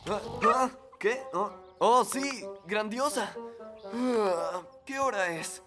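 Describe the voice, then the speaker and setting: Cartoon dub, agreeable, unsure boy, gentle delivery, medium pitch, clear music bed. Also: expressive, takes it slowly. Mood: sleepy, hesitant. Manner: tentative, gentle. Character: mild, hesitant young boy, agreeable friend. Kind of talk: animated children's cartoon dub. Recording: music behind the dialogue.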